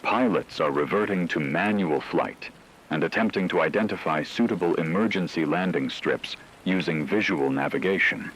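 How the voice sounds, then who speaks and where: A man playing a grave, formal radio news anchor, reading an emergency broadcast in a measured, authoritative way. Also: low voice, a bit bright, slightly rough, poor audio. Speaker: news announcer.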